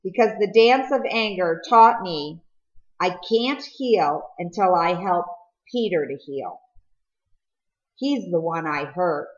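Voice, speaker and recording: A woman voicing an earnest, remorseful teenage boy in a storybook, confessing in a serious, halting manner. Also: on the low side, expressive, highs cut off.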